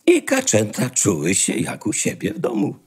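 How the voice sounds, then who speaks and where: A man's voice: on the low side, huge pitch swings, normal speed, a little mellow, slightly rough. A man narrating a scripted fairy tale as the narrator of a radio play. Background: music.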